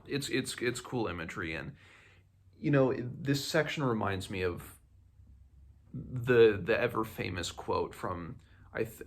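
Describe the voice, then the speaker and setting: A man hosting a book read-along, giving casual commentary in a conversational, halting way as he thinks aloud. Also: on the low side, a bit bright.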